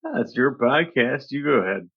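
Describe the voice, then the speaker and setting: A man co-hosting a podcast over a remote call, playful in chat and reading a poem dramatically, with his voice dropping low at the ends of lines. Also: animated, moderate pace, creaky, slightly rough, dull audio. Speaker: podcast co-host.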